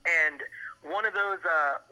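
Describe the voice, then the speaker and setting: A man from Miami with an American accent and a fairly high, strikingly bright voice. He talks over a tinny phone line. His delivery is casual and rambling, full of slang and swearing as he tells stories. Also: takes it slowly. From Miami, USA. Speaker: interview guest on a phone call. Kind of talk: casual chat, telling old stories.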